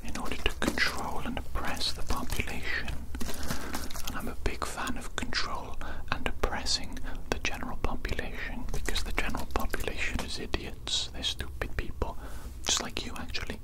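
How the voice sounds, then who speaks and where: A man's voice: moderate pace, poor audio. Whispering evil mad doctor played by a man. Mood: quiet contempt. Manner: hushed, repetitive, rambling, mock-polite. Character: evil, contemptuous male mad doctor, softly menacing yet soothing. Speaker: ASMR roleplay performer. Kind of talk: whispered ASMR roleplay.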